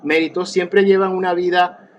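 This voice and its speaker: A man's voice: high-pitched, level tone, moderate pace, a little hiss or hum. A man, a therapist talking as an interview guest, reflective and rambling with many restarts and fillers. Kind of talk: casual conversational interview.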